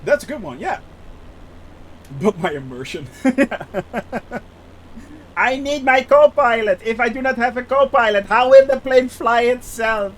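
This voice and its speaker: A man's voice: very high-pitched, expressive, a little slow, long pauses. A man with a thick Dutch accent chatting casually on a live stream, hesitant with many restarts and frequent laughter. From Netherlands. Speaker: flight sim streamer.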